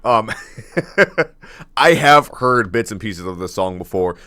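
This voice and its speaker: A man with a deep baritone voice reacting casually to a song, laughing often and rambling with fillers and restarts. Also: lively intonation, slow, sharp tone, no echo, studio quality. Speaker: music reaction host.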